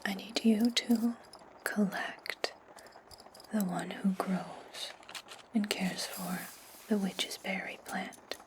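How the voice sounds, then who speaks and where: Casting: actress as imperious witch for ASMR fantasy roleplay. Breathy whisper, unhurried deliberate delivery, scheming and condescending. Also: mid-range voice, fairly even intonation. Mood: commanding, sly. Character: imperious, scheming witch giving orders to her servant.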